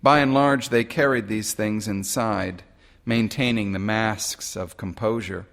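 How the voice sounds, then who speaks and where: A man narrating a literary short story in a measured, dramatic way. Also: on the low side, steady tone, gravelly, fairly bright tone. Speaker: audiobook-style short story reader.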